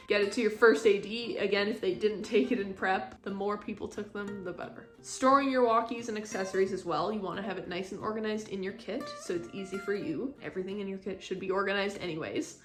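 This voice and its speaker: Young adult woman, mid-pitched voice, informal explanatory delivery, training talk, echoey living room, music behind. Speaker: training instructor, assistant director. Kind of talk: casual instructional talk to camera. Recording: roomy indoor echo.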